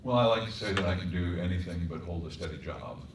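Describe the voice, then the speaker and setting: A man from Colorado with a very deep voice, a voice actor and composer telling wry stories on a stage panel. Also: quick pace. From Colorado, United States. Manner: storytelling, wry, reflective. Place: performing arts center stage. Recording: echoey hall, distant mic, faint hum.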